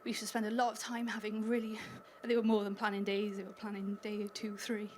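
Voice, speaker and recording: A woman's voice: mid-range voice, completely flat delivery, fairly quick, a little hiss or hum. A Welsh woman speaking at a conference, chatty and upbeat, laughing lightly between points. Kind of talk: conference talk. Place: conference hall stage.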